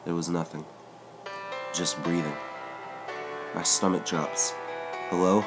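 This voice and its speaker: A man narrates a first-person story in a measured, even read. His voice sits very low and deep. A clear music bed plays beneath the whole narration. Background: background music.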